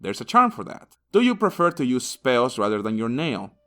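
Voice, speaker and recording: A man's voice: mid-range voice, sing-song, normal speed, sharp tone. A man narrating a scripted comedic video game review in a crude, sarcastic, sweary manner.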